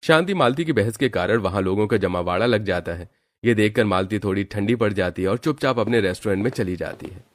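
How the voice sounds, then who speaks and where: A man's voice: fairly low pitch, brisk, no room sound, clean studio sound. A man giving scripted storytelling narration for a moral cartoon.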